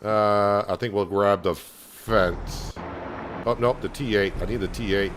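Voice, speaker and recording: Calm low-voiced man streaming a farm game. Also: noisy background. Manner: calm, soothing, unhurried. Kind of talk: casual gameplay commentary.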